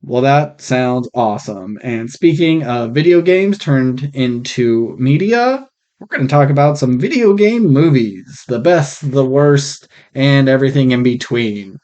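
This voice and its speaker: A man co-hosting a podcast in casual conversation, heard through a rough, muffled microphone. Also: medium pitch, lively intonation, measured pace, a little mellow. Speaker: podcast co-host. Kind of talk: casual chat. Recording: muffled, rough audio from faulty mic.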